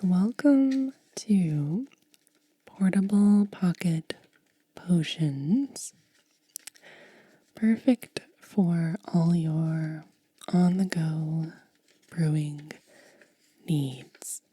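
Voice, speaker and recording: A woman ASMR host speaking in a hushed, breathy whisper with a lingering delivery, as in an unboxing. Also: on the low side, very slow, pauses often, no room sound.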